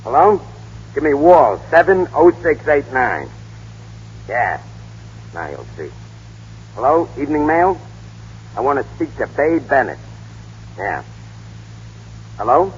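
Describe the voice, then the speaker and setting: An actor playing a gruff, cynical fixer who talks in slang, in 1930s radio style. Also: male voice, mid-range voice, sing-song, takes it slowly, long pauses, heavily acted. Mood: brusque. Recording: muffled, reverberant old 1930s radio recording.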